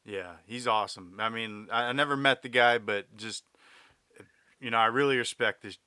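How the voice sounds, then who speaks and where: Podcast host guy, easygoing, crisp bright voice, dry close mic. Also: medium pitch, moderate pace, dry recording. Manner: easygoing, agreeable, frequent backchannel. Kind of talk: casual interview chat.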